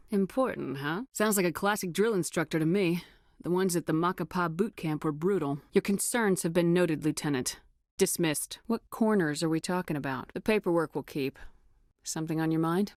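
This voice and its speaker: A woman's voice: low-pitched, moderate pace, darkish tone, no room sound, studio quality, close to an everyday voice. A woman playing a confident female military starship commander in a video game, with assured, clipped, dryly teasing authority. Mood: measured, wry. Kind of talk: scripted video game dialogue.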